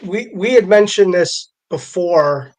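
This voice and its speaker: A man co-hosting a casual sports talk show, speaking haltingly as he repeats words and rephrases. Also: high voice, expressive, a little mellow.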